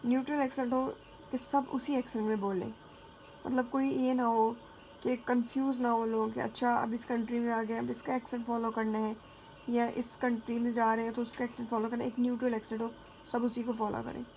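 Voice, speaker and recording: Woman, teacher, classroom lecture, reads passages out slowly, faint background noise. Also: on the high side, level tone, a little mellow, rough recording. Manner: explains casually, then reads English passages slowly; frequent checking fillers. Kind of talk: classroom lecture mixing Hindi and English.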